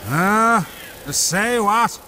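A man's voice: high voice, animated, very slow, pushed and bright, a little hiss or hum, heavily acted. A man voicing an angry, bullying middle-aged village father in a manga dub, coarse and blustering.

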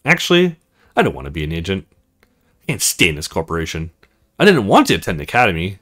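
A man's voice: low-pitched, wildly swooping pitch, fast, fairly bright tone, voice pushed down, full character voice. A man reading the lines of a blunt, moody young woman at an academy in a visual novel, with emphatic delivery. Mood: bitter. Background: music.

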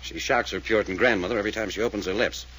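An actor playing a level-headed, commanding American detective who explains things with authority, in 1940s radio style. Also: male voice, lowish voice, racing, fairly bright tone, natural voice, low-quality recording. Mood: brisk, matter-of-fact. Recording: old radio recording with faint hiss.

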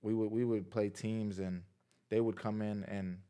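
A young man talks easily as a podcast guest, his voice low and smooth. He is from Massachusetts and tells his stories loosely, full of "like" and restarts. The sound comes close on a studio boom mic in a small room. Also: measured pace. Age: 20s. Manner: relaxed, laid-back storytelling with frequent "like". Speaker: guest, pro football player. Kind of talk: casual podcast interview. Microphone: boom mic on arm beside him.

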